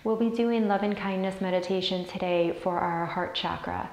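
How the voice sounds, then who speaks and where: A woman leading a guided meditation as a teacher, soothing and deliberate as she repeats phrases. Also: fairly low pitch, fairly even intonation, darkish tone. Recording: roomy, echoey sound.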